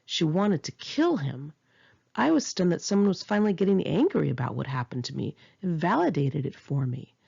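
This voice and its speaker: Woman reading her memoir, lowish voice with lots of fry, earnest, kinda muffled home recording. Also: very animated, moderate pace, warm tone. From United States. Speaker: author reading own memoir.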